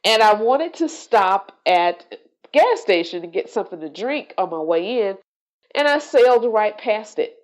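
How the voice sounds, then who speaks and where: A woman hosting a solo podcast, sounding congested and nasal from allergies, talking in a slow, reflective way. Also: mid-range voice, very animated. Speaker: podcast host.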